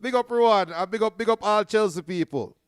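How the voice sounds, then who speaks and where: A man's voice: high voice, fairly quick, smooth, dry recording, studio quality. A man co-hosting a football watch-along, reading viewer comments aloud and stammering on his first words. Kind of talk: casual watch-along banter.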